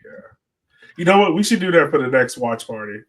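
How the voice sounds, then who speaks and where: A man's voice: a little high, big pitch swings, fairly quick. A man hosting a sports podcast in a casual, chatty style.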